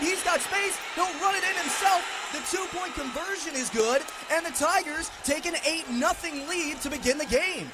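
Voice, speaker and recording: A very high-pitched man delivers live radio sports commentary over clear background noise. He follows the action excitedly and breaks into shouts when the play gets big. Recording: clearly noisy live broadcast sound.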